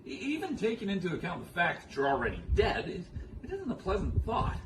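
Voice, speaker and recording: An actor playing a rambling, absurdly philosophical man musing haltingly on death in a comic stage monologue, recorded from afar in an echoey, noisy room. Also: high voice, wildly swooping pitch. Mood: nervously amused. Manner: musing, halting, self-correcting, abrupt swoops in emphasis. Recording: echoey room, distant mic, noisy background.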